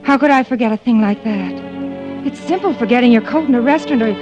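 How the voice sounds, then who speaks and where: A woman's voice: on the high side, fast talker, highs cut off, lightly acted. An actress playing an anxious, forgetful young working woman, fretting and questioning herself in an old radio thriller. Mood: stunned. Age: young adult. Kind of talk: 1940s-50s radio thriller acting, inner monologue and dialogue. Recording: old radio drama, music underneath.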